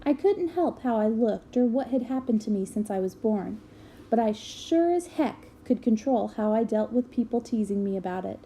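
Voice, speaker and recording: Woman with a very mellow, higher voice reading aloud. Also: a little hiss or hum. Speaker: reader of a children's book aloud. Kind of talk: expressive read-aloud narration.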